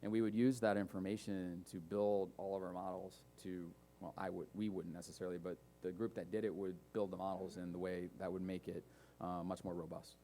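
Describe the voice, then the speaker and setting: Male conference speaker, low voice, relaxed Q&A delivery. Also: fairly quick, slight background noise. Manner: relaxed, lots of fillers and asides. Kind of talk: casual technical talk with audience questions. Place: conference room.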